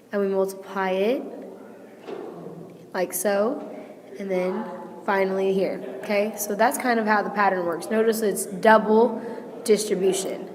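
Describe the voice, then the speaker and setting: A woman teacher with a hoarse, failing voice, patiently walking students through a math lesson step by step and checking in with "okay?". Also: mid-range voice, a little mellow, big-room sound. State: sick, losing her voice. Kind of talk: recorded classroom lesson.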